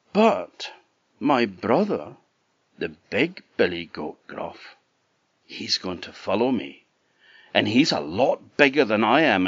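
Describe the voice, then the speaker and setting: A man's voice: fairly low pitch, no room sound, dull audio, exaggerated voice. A man voicing a timid but wily middle-sized billy goat in a storybook tale, pleading and persuasive. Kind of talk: storybook character voice.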